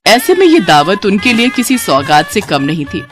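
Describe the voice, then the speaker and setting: A woman's voice: mid-range voice, sing-song, moderate pace, over music. A woman storyteller reading scripted cartoon story narration, with faint noise behind her.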